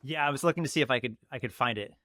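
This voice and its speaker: A man hosting a casual interview, asking friendly, halting questions with many restarts. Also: medium pitch, bright, forceful tone, no room sound, clean studio sound. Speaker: interview host.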